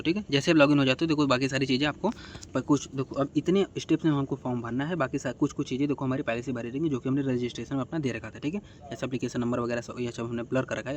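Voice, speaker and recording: A man's voice: mid-range voice, a little hiss or hum. A man giving casual, step-by-step instructions as a tutorial host, often adding short check-in tags.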